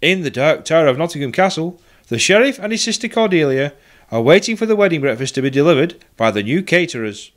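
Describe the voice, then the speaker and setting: A man narrating the recap and scene-setting of an amateur radio play in a measured storybook manner. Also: a little high, big pitch swings.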